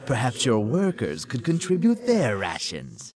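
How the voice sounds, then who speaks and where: A man playing a formal, obedient messenger in a video game, speaking in a courtly, measured way. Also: mid-range voice, wildly swooping pitch, darkish tone. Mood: formal, dutiful. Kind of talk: video game cutscene acting.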